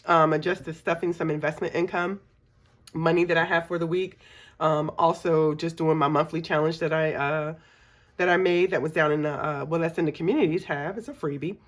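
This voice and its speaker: Low-voiced woman with a scratchy cold voice. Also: fairly even intonation, fairly quick. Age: middle-aged. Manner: chatty, many ums, counts money aloud. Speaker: budgeting channel host. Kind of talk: casual chat while cash stuffing.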